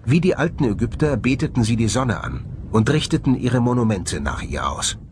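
A man's voice: medium pitch, sing-song, moderate pace, noisy background. A man giving scripted narration as the presenter of a documentary. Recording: music behind the voice. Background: background music.

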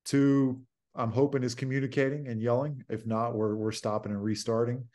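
A man's voice: mid-range voice, level tone, moderate pace, a little mellow. A man in his thirties, a basketball coach, explaining a practice drill plainly over a video call. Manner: plain, explanatory, many fillers. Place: home office indoors. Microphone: video call webcam.

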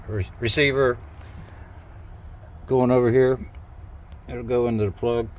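Folksy man over clear outdoor noise. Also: medium pitch, a little mellow. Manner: folksy, hesitant, unscripted. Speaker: DIY video maker. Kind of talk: casual hands-on how-to narration. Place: outdoors at an open truck cab.

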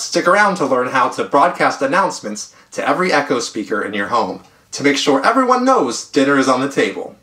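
A man in his thirties hosting a tech tutorial, speaking upbeat and clear straight to the camera in a small room at home. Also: fairly high pitch, pushed and bright. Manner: upbeat, clear presenter delivery. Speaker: tech channel host. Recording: roomy echo.

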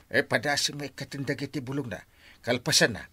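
A man's voice: medium pitch, quick pace, bright, forceful tone, husky. A man playing a cantankerous, stingy village captain and husband in an Ilocano comedy radio drama, blustering and contrary. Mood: grumbling, blustering.

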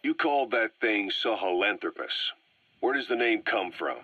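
Terse game soldier: very low voice, guarded, probing, close dry muffled sound. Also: male voice, lively intonation, moderate pace, no room sound. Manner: laconic, probing. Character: terse, suspicious male mercenary commander. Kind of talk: video game voice acting. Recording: dull, dry cassette-style recording.

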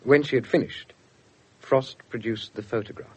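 An actor narrating a police story for a 1970s radio drama, detached and factual. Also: male voice, medium pitch, steady tone, moderate pace, breathy edge, lightly acted, poor audio.

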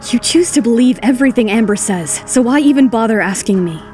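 A woman's voice: a little high, expressive, brisk, dark tone, soft background music, natural voice. A woman voicing a composed, defiant, cutting model in an audio drama. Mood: cool, cutting.

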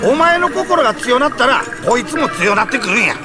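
A bossy little fairy sidekick from an animated game, brash and Kansai-accented. The delivery swings up and down in pitch, over loud music. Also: male voice, brisk. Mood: explaining. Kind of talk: anime game voice acting.

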